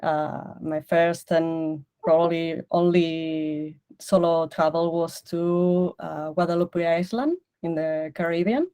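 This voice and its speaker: A woman from Barcelona, introducing herself in a casual online chat, hesitant and halting. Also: on the low side, fairly even intonation, mellow, clear, resonant tone. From Spain, Barcelona. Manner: hesitant, halting, laughs often. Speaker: participant.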